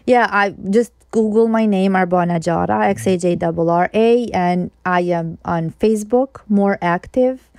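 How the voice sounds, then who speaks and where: A woman's voice: medium pitch, a little slow, hardly any pauses, darkish tone, no room sound, studio quality. A woman from Kosovo, speaking English as a guest journalist in an interview, earnest and explanatory, often restarting her sentences. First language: Albanian. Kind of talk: interview conversation.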